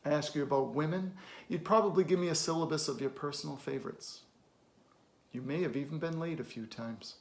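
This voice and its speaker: A man plays a middle-aged therapist in a dramatic film monologue, weary, wounded and blunt. The voice sits slightly high for a man, with a pronounced creak. He speaks deliberately, leaving weighty pauses and leaning hard on key words. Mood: challenging. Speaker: actor.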